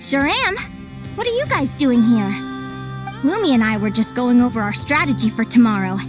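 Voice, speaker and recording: A woman's voice: fairly high pitch, animated, moderate pace, over loud music, lightly acted. A woman playing a friendly, earnest, strong-willed young advisor in a video game. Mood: upbeat.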